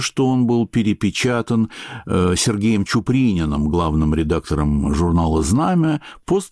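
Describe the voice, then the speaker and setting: A man presenting as a radio host and interviewer, speaking in measured, literary phrasing as he narrates an essay and puts questions to a guest. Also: fairly low pitch, a little mellow, dry recording, clean studio sound.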